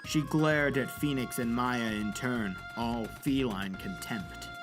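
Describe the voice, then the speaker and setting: A man's voice: mid-range voice, slow, over music. A man narrating a scripted story for an audio drama.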